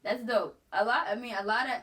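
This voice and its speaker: A woman's voice: a little high, a little fast, a bit bright. A woman hosting a casual interview in a laid-back, agreeable way, picked up from a distance. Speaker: interviewer, host. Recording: distant, off-mic voice.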